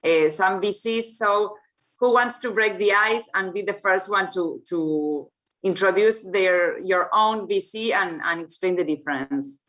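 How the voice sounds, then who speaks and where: Brief: woman, moderating a panel, halting and filler-heavy. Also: mid-range voice, a bit bright, low-quality recording. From Argentina. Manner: hesitant, searching for words, many fillers.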